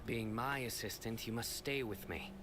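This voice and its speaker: A man's voice: mid-range voice, normal speed, lightly acted. An actor playing a stern male investigator general in a video game, speaking in a clipped, authoritative way. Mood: commanding. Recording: game audio under ambient noise.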